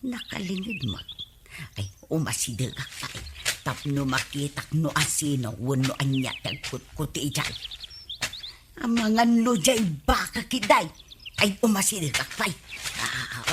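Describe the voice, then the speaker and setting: A woman's voice: sits low, very animated, measured pace, pauses often, very noisy. An actress with an Ilocano accent playing a curious, flustered village woman in a radio comedy drama. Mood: curious, wary.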